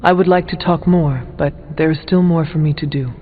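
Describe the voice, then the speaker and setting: An actor playing a stern, resolute warrior hero in a video game, with a formal, blunt and solemn way of speaking. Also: male voice, on the high side, steady tone, brisk, natural voice. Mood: grave, insistent. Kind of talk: video game character dialogue. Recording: thin phone-like audio, music behind.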